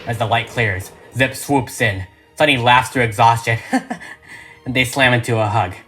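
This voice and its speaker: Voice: medium pitch, unhurried, fairly bright tone, faint music behind. A narrator reading a script aloud in a dramatic, emphatic, theatrical style.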